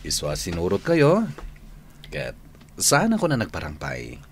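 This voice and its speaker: A man's voice: low-pitched, huge pitch swings, measured pace, slight background noise, full character voice. A man playing a repentant suitor pleading for forgiveness in an Ilocano radio drama, speaking earnestly with an Ilocano accent. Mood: remorseful, earnest. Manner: earnest, imploring. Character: repentant, pleading male suitor seeking forgiveness from wary woman.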